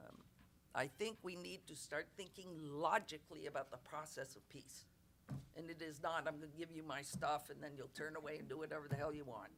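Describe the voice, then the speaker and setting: Forceful, bright-toned woman; blunt and emphatic, with a quick self-mocking aside now and then; press conference. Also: sits low, slight background noise. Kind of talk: unscripted press conference remarks.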